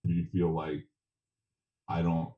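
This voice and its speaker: A man interviewing casually, asking conversational questions in a halting way with restarts. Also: sits low, completely flat delivery. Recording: dull roomy echoey sound.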